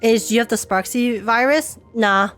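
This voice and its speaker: Excitable woman streamer reacting to a game. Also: fairly high pitch, moderate pace, soft background music. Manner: excitable, chatty, swears and laughs. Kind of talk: casual gaming commentary.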